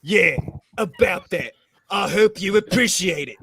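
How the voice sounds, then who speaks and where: A man acting a brash, combative space adventurer with a raspy voice in a comedic script read. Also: sits high, expressive, a little slow, a bit bright, dry recording, studio quality, exaggerated voice. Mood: smug.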